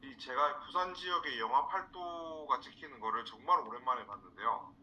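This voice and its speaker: A man from South Korea teaching a live online class in an informal, halting way, repeating words for emphasis. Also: medium pitch, sharp tone, slight background noise, rough recording. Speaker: instructor, marketing trainer. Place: indoors, online screen-share session.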